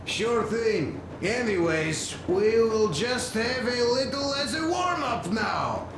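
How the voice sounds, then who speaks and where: A man playing a hearty, jovial soldier in a video game, with a boisterous, drawn-out delivery. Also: very high voice, animated, roomy sound, background noise, full character voice. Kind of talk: dramatic video game acting.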